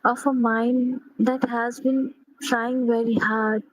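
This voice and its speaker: A woman leading a guided sleep meditation in a gentle, unhurried manner, pausing between short phrases. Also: fairly high pitch, little pitch movement, low-quality recording.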